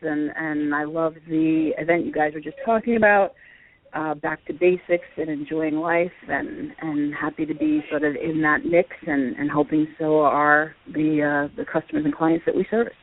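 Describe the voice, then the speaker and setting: A woman guest introducing herself informally in a friendly, measured way over a phone line. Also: sits low, steady tone. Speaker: guest, company co-founder. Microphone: telephone call. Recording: tinny phone line, dry.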